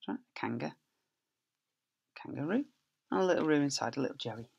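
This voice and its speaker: A man's voice: mid-range voice, expressive, brisk, gravelly, a little mellow, slightly rough, lo-fi sound. Middle-aged teacher guy reading a picture book to little kids, bouncy up and down voice, does silly noises, webcam in a small office. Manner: playful, stretches key words and makes sound effects. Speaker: school teacher. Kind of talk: reading a picture book aloud to young children. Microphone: laptop webcam at close range.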